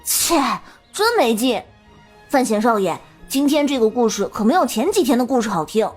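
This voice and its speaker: A woman's voice: a little high, very animated, moderate pace, darkish tone, faint music behind. A woman playing an eager, cheeky young boy in an audio drama, talking in a blunt, pestering street-kid way. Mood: unimpressed. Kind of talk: Chinese audio drama acting.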